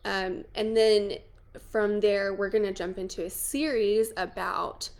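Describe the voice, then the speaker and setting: A woman's voice: mid-range voice, steady tone, a little slow. A woman, chatting casually and playfully as a podcast host. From New Mexico. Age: 20s. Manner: chatty, self-deprecating, playful asides. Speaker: podcast host, wedding photographer. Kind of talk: casual chat.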